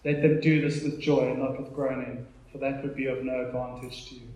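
A man's voice: mid-range voice, level tone, brisk, darkish tone. A South African man in his thirties preaching an informal sermon, conversational and emphatic, repeating phrases for effect as he tells personal stories. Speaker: pastor preaching to a congregation. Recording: very echoey room, live sermon.

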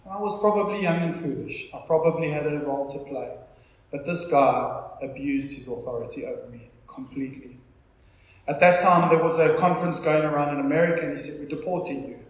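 A man's voice: fairly high pitch, steady tone, fairly quick. A South African man in his thirties preaching an informal sermon, conversational and emphatic, repeating phrases for effect as he tells personal stories. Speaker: pastor preaching to a congregation. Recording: very echoey room, live sermon.

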